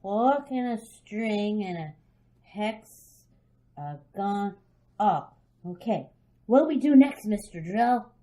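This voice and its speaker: A woman playing a headstrong, impatient little girl in a radio drama, loud, definite and childishly eager. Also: mid-range voice, lively intonation, takes it slowly. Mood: bossy, impatient. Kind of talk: old-time radio drama acting.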